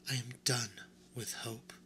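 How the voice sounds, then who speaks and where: A man voicing a proud, wounded male toy rabbit, speaking softly and with restraint. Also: steady tone, a little slow, slight background noise. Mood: proud, weary. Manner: soft, low and restrained.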